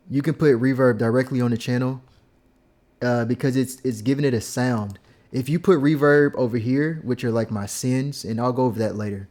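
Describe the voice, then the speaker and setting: A young man casually explaining how he mixes his vocals, rambling and filling pauses with "like" and "um". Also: medium pitch, darkish tone. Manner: laid-back, rambling, heavy use of "like" and "um". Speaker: music producer teaching vocal mixing. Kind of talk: casual screen-recorded tutorial.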